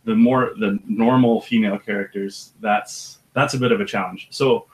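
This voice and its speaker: An audiobook narrator with a deep voice, talking about his work in a relaxed, thoughtful way with some hesitation. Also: moderate pace, low-quality recording. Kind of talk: casual interview chat.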